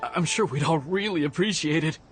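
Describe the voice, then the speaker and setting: Man as a stammering, nervous police underling, highish voice. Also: brisk, music in the background, lightly acted. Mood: flustered. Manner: hesitant, stammering. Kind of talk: scripted game voice acting.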